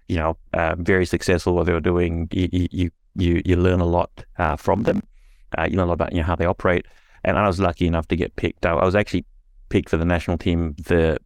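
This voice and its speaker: A man from Singapore talking as an interview guest, halting and self-deprecating, laughing at himself. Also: low-pitched, no echo, clean studio sound. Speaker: podcast guest. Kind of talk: casual interview conversation.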